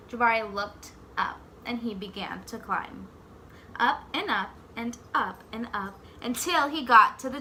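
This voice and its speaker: A woman reading a picture book aloud like a teacher, expressively, pausing to put simple questions to young children. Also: on the high side, pushed and bright, a little hiss or hum. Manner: pauses to ask simple questions and echo the answers.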